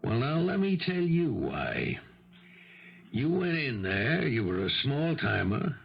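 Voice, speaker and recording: An actor in a 1970s radio mystery playing a world-weary older police lieutenant who talks in an insistent, colloquial, streetwise way. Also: male voice, mid-range voice, sing-song, takes it slowly, gravelly, breathy edge, exaggerated voice. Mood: skeptical. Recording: muffled old radio broadcast recording.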